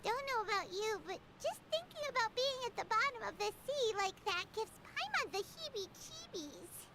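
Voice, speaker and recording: A woman's voice: very high voice, big pitch swings, moderate pace, heavy background noise, full character voice. A woman voicing a small, chirpy, naive female fairy companion in a video game. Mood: nervous. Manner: refers to self in third person, exclamatory.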